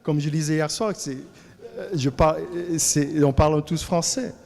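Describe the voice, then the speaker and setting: A man with a Cajun French accent from Louisiana gives an animated talk at a colloquium, often repeating words as he searches for the next one. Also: on the high side, a little slow, vocal fry, long reverb tail. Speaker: colloquium speaker. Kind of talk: lively talk to an audience. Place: lecture hall.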